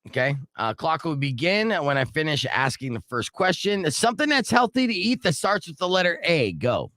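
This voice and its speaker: A man with a deep voice hosting a live radio show, joking and poking fun at himself. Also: a little fast. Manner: joking, self-mocking, lively. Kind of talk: casual radio banter. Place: radio studio. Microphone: studio microphone.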